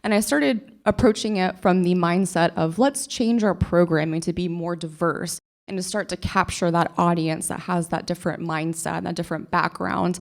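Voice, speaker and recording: A woman's voice: on the low side, fairly even intonation, moderate pace, gravelly, hardly any pauses. A young woman from Oklahoma, a librarian giving a short conference presentation, talking earnestly and enthusiastically with small restarts. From Oklahoma, USA. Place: conference stage.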